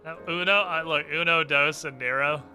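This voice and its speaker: A man's voice: on the high side, fairly even intonation, moderate pace, sharp tone. A man doing casual gaming commentary in a sarcastic, mock-dramatic and profane way. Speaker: game streamer. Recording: music playing behind. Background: game music.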